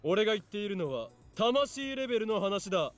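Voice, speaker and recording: A man's voice: sits high, big pitch swings, fast, over music. A man voicing an eccentric, mocking young lab leader in a Japanese visual novel, pompous and declamatory. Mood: scornful, lecturing.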